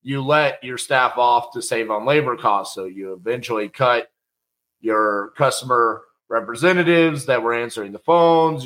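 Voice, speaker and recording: A middle-aged man tells a personal story as a casual monologue. His voice is bright and forward, and he keeps it conversational and animated. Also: medium pitch, poor audio. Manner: conversational, animated, acts out quotes. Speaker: podcast host telling a personal story.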